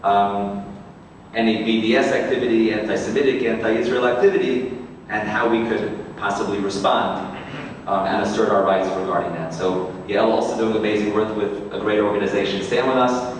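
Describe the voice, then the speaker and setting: Man, Queens New York accent, lowish voice, event introduction speech, halting phrasing, faint background noise. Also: fairly even intonation. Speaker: rabbi introducing speakers. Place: large reverberant room, community event. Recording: very echoey hall, distant mic, faint noise.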